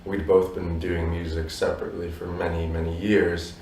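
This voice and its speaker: Low, flat-voiced man in an echoey room. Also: normal speed. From abroad, Polish parents. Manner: reflective, many "you know" fillers. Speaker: musician guest. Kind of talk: relaxed interview answers. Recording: roomy echo from a distance.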